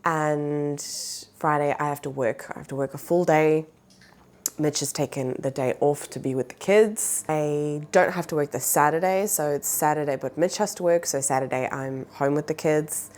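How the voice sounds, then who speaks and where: Low-voiced nasal woman chatting casually. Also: moderate pace, darkish tone. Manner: chatty, rambling with fillers. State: recovering from a cold. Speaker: plant vlogger, mother. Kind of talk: casual chat.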